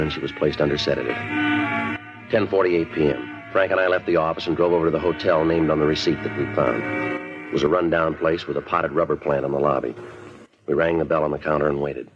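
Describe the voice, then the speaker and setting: An actor playing a terse, businesslike police detective in a 1950s radio crime drama, speaking in a clipped, matter-of-fact way. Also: male voice, extremely low pitch, fairly even intonation, quick pace, music in the background. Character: businesslike, terse male police detective narrating his case. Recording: muffled 1950s radio recording.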